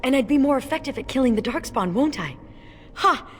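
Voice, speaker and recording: A woman's voice: high-pitched, animated, a little fast, husky. A woman playing a stern, fatalistic dwarf warrior in a video game, speaking in an earnest, wry way. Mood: surprised, eager.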